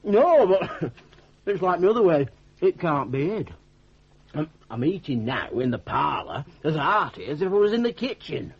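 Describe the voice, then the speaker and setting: A man's voice: on the high side, big pitch swings, moderate pace, breathy edge, heavily acted. An actor playing a cheerful, bumbling, self-deprecating idle young man in a radio drama, rambling artlessly in a Northern English accent. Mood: rueful, chuckling. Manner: rambling, artless, chuckling, homely dialect. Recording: dull 1980s radio drama recording.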